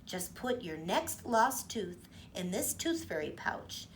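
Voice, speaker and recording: Woman as a tender, hushed tooth fairy for a bedtime storybook. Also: mid-range voice, very animated, takes it slowly, slight background noise. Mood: gentle. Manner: soft and whispery. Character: gentle, kind girl tooth fairy.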